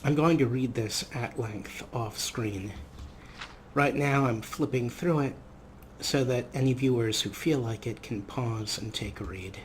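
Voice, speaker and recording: A man's voice: mid-range voice, unhurried, a little hiss or hum. A man giving casual let's play commentary in a chatty way, with playfully formal turns of phrase. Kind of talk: casual let's play commentary with some read-out game text.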